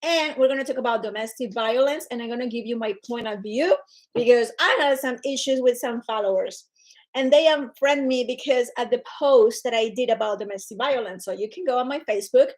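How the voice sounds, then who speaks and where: A woman with a Colombian Spanish accent hosts her own livestream. Her voice sits a little high, and she talks in a warm, chatty, energetic way. She greets her viewers by name and keeps them engaged. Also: brisk. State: recovering from illness. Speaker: livestream host. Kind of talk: casual livestream talk to viewers.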